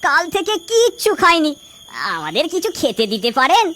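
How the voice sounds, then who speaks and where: A woman's voice: sits high, very animated, normal speed, sharp tone, full character voice. A woman voicing a young, touchy but kindly hunchbacked outcast in a children's folktale cartoon, with a plaintive manner. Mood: pleading. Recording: children's music under the voice.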